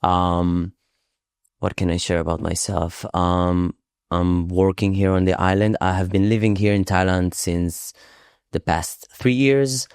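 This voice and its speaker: A man speaks in a low, smooth, resonant voice. He talks slowly and reflectively, often pausing with fillers as he searches for words. The sound is dry and close, with no room around it. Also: steady tone, a little mellow, no echo. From Israel. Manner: slow, thoughtful, hesitant with many pauses and fillers. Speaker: podcast guest, psychodrama facilitator. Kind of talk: casual interview conversation. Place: Thailand island.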